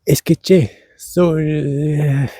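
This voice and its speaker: Man, Argentine accent learned abroad, meandering theatrical monologue, very dark tone, dry sound. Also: on the high side, steady tone, extremely slow, husky, no echo. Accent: Argentine Spanish learned by a German speaker. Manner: meandering, theatrical, with many fillers and restarts. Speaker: language teacher and podcaster. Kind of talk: rambling comedic monologue. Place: outdoors at dusk.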